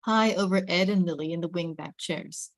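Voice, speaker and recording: A woman's voice: on the low side, normal speed, dry recording. A woman reading screenplay stage directions as scripted narration.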